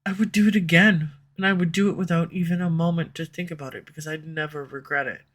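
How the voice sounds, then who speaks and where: A woman's voice: on the low side, steady tone, fast, close to an everyday voice. A woman voicing a flustered tsundere female villain in love, in an audio roleplay for a listener, defensive at first and then tender. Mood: confessing. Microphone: USB condenser microphone at home.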